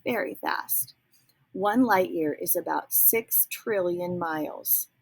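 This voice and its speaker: Woman reading a kids book out loud, really husky gravelly voice, asks little questions, whispers sometimes. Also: lowish voice, slow pace, low-quality recording. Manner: gentle teacherly questions to young listeners, soft whispered asides. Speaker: read-aloud storyteller. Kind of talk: reading a children's picture book aloud.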